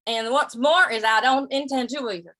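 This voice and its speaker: Woman as a plain-spoken cowpoke, bright forceful voice, slightly high, folksy, stubborn, old-time Western radio play. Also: normal speed. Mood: reluctant. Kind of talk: 1950s Western radio drama acting.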